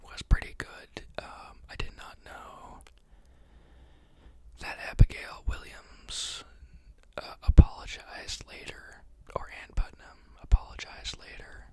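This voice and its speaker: An ASMR host who teaches, reading a book aloud and then thinking aloud in a soft, unhurried whisper with many pauses and fillers. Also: dry recording.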